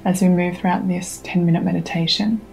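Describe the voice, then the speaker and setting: Low, even-toned woman guiding meditation over music. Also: creaky, low-quality recording. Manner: soothing, unhurried, gently instructing with long pauses. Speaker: meditation guide. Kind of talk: guided meditation. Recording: music under the voice.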